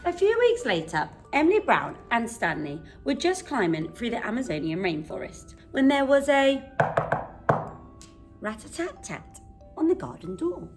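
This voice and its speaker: Animated, slow-paced woman reading a storybook. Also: on the high side, soft background music. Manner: dramatic, deliberate, with emphatic pauses. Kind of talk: expressive children's storybook reading.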